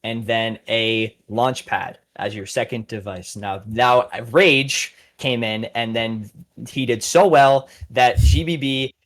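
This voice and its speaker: Man, podcast host, medium pitch, casual commentary, informal, enthusiastic. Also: takes it slowly, fairly bright tone.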